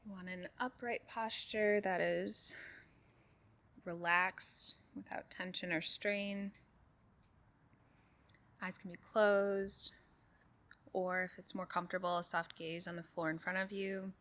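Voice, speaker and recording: A woman leading a guided meditation in a calm, soothing way, giving soft instructions with unhurried pauses. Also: lowish voice. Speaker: meditation group leader.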